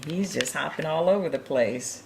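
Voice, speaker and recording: A woman's voice: deep voice, normal speed, vocal fry, darkish tone, a little hiss or hum, low-quality recording. A woman reading a picture book aloud to young children, with chatty, encouraging asides and chuckles over the pictures. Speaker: children's storytime reader.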